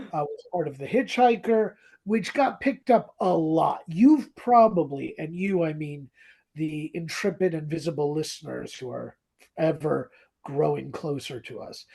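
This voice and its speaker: A podcast co-host chatting casually and comedically about old radio drama, with a theatrical, mock-dramatic manner and a husky voice. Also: medium pitch, dark tone.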